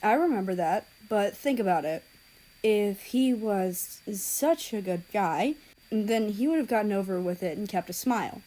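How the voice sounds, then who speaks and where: A woman's voice: medium pitch, lively intonation, moderate pace, mellow, lightly acted, poor audio. Woman reading as a scornful, dismissive teenage boy. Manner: sneering, dismissive.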